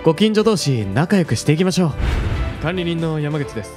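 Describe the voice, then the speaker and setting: A man's voice: fairly high pitch, sing-song, moderate pace, darkish tone. A man playing a polite, friendly apartment neighbour, a courteous and inviting love interest in a parody dating-game trailer. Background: music.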